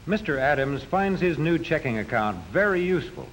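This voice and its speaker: A man's voice: a little high, animated, a little fast, fairly bright tone. A man narrating a 1940s educational film from a script, explaining step by step in a didactic manner. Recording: noisy 1940s film soundtrack.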